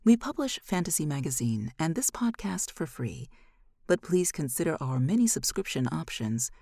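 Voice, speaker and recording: Low, husky woman narrator, expressive. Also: no room sound, clean studio sound. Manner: dramatic, measured delivery. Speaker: podcast host and audiobook narrator. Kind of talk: expressive scripted story narration.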